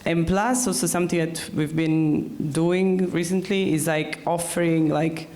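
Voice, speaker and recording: A man on an industry panel explaining his points haltingly, with many "like" and "um" fillers. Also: fairly high pitch, steady tone, a little mellow. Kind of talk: conference panel discussion.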